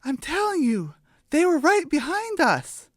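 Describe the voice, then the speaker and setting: A woman voicing an earnest, excitable young female chicken in a children's radio play. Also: sits high, measured pace, dry recording, studio quality, voice pushed up. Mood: alarmed, insistent.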